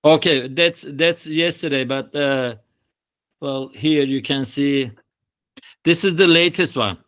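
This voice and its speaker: A man's voice: fairly high pitch, takes it slowly, a bit bright. A man with a Turkish accent chatting casually and politely in an online meeting as a presenter, a little hesitant in his wording. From Turkey. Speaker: conference presenter.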